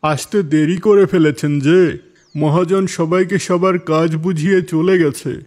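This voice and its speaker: A man's voice: a little high, fairly even intonation, normal speed, darkish tone, close to an everyday voice. A man voicing a helpful, sympathetic market worker in a moral-tale cartoon, friendly and matter-of-fact.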